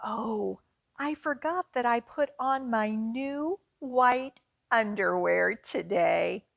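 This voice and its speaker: A woman voicing an earnest, forgetful polar bear from a children's picture book in a simple, expressive way. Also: on the high side, slow pace, gravelly, dry recording. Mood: sheepish surprise.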